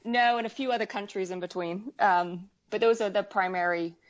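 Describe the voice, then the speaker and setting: A woman's voice: medium pitch, normal speed. Muffled, hesitant woman from Wales and Canada. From Wales and western Canada. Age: 40s. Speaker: needlework artist, interview guest. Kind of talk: casual chat.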